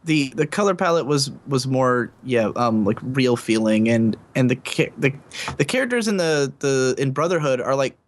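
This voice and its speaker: A man's voice: mid-range voice, animated, normal speed. A man co-hosting a podcast, talking through his opinions in a thoughtful, hedging way with many fillers. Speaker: podcast co-host. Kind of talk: casual podcast chat.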